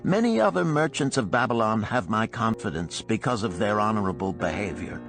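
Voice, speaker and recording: A man's voice: lowish voice, lively intonation, a little fast, fairly bright tone, soft background music. A man voicing a shrewd older gold lender in a storybook, speaking in an archaic, emphatic, declamatory way. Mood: firm, confiding.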